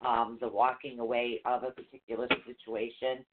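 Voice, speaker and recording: A man's voice: medium pitch, flat delivery, normal speed. A man co-hosting a live psychic call-in show, talking casually and rambling with fillers. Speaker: co-host and reader. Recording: tinny phone-like line, faint noise.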